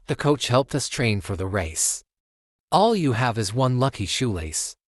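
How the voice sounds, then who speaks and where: A smug young cat rival from a storybook, acted by a man, boastful and taunting. The sneering delivery swings widely in pitch and takes its time. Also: sing-song, a little slow, slight background noise. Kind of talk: children's storybook read-aloud.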